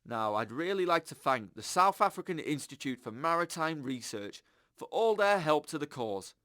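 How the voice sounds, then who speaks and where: Chirpy high-voiced radio host. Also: male voice, very animated, moderate pace, sharp tone, no room sound, studio quality. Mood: chatty, sincere. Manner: chatty, upbeat, earnest. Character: chirpy, earnest, government-trusting male radio show host. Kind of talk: radio presenting in an audio drama.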